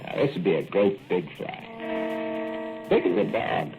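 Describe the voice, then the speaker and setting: An actor playing a young, dreamy plumber's helper with a gravelly voice, drawling in 1940s radio drama style. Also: male voice, deep voice, wildly swooping pitch, slow pace, full character voice. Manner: drawling, daydreaming small-town talk. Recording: tinny old radio broadcast with faint music.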